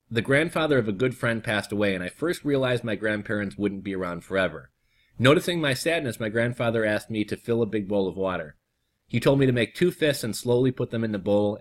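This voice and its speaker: Hoarse young man reading aloud. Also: on the low side. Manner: reads steadily, then chats loosely with fillers. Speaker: vlogger. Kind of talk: reading an article aloud with casual asides.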